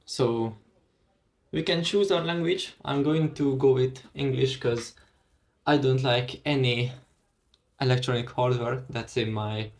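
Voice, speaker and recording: Slow-talking Hungarian man walking through software. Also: medium pitch. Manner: unhurried, thinking aloud with pauses and fillers. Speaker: tech vlogger. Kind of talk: casual screen-recorded walkthrough.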